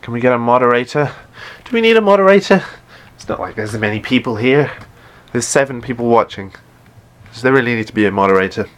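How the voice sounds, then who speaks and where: A man with a deep voice chatting casually while playing a game live, often stumbling and repeating words. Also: lively intonation, quick pace. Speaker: gaming live streamer.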